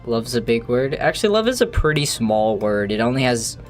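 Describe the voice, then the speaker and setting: A man's voice: mid-range voice, very animated, normal speed, faint music behind. Rambling, joking young man streaming. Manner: rambling, joking, swears freely. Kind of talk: casual live-stream chatter while gaming.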